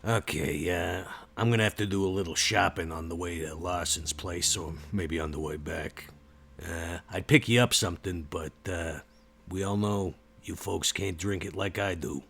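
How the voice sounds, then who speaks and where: A man playing a gruff, loyal, easygoing vampire bruiser in a tabletop game, with a laconic, folksy way of talking. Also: sits low, normal speed, a bit bright, heavily acted. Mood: gruff, laid-back.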